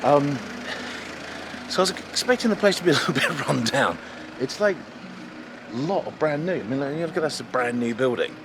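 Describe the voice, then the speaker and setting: A middle-aged man with a British accent, chatting excitedly while walking through town streets outdoors and filming a house-hunting vlog. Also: medium pitch, fairly quick, pauses often, noisy background. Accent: British English. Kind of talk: casual travel vlog. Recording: music playing underneath.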